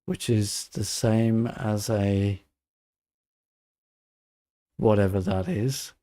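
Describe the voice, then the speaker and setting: A man's voice: lowish voice, drawn-out words, mellow. A man giving casual playthrough commentary as a game streamer, muttering as he thinks aloud.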